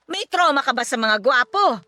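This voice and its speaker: A woman playing a sharp-tongued, bossy, gossipy aunt in a Filipino radio drama, exclaiming bluntly with a Filipino accent. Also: sits high, expressive, moderate pace, no room sound. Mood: mocking, gossipy.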